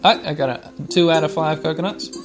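A man's voice: a little high, fairly quick, over music. A man hosting a podcast, giving chatty, wry running commentary as he plays a video game for listeners. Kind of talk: narrating gameplay to listeners.

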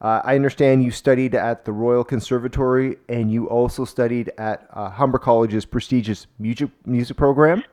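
A man's voice: mid-range voice, steady tone, normal speed, few pauses, a little mellow. A man in his late forties with a Canadian accent, interviewing a guest casually as a podcast host. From Toronto, Canada.

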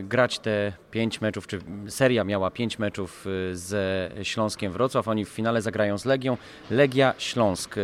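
A man hosting a sports discussion, building up his questions in an emphatic, wordy way. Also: medium pitch. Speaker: sports podcast host, interviewer. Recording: clearly noisy background ambience.

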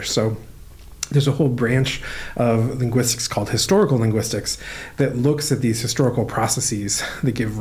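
A male professor answers questions at a steady, everyday pitch. He explains carefully, pausing with "um" and "uh" as he thinks aloud. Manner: thoughtful, many "um" and "uh" while explaining. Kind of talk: relaxed explanatory interview answers.

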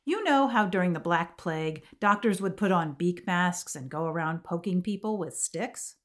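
A woman's voice: on the low side, expressive, moderate pace, a bit bright. An actress playing a flighty, theatrical woman with homemade magic ideas, in scripted comic podcast banter, emphatic and argumentative. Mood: manic, insistent. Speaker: podcast co-host.

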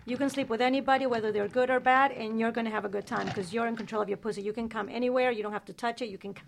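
A woman's voice: a little high, fairly even intonation, racing, gravelly, a little hiss or hum. A woman co-hosting a talk radio show, talking bluntly and boastfully. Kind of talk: candid talk radio chat.

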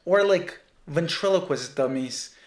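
A man's voice: on the high side, unhurried, reverberant. A man in his thirties from Russia with a Russian accent, co-hosting a casual podcast and rambling and teasing with lots of restarts. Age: 30s. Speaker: podcast co-host.

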